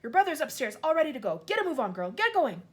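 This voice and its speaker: Woman playing a gruff dad who snaps out orders, loud and brusque. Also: high-pitched, sing-song, fast, voice pushed up. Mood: barking. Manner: snapping, barking orders. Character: gruff, bullying middle-aged father.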